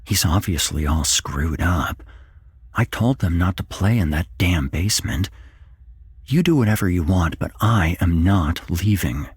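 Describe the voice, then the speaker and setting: A man voicing an angry, stubborn, foul-mouthed father in a hushed horror story reading. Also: deep voice, lively intonation, a little slow, breathy edge, no room sound, heavily acted. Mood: bitter, dismissive. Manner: swearing, exasperated, argumentative. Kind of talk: whispered horror story character voice.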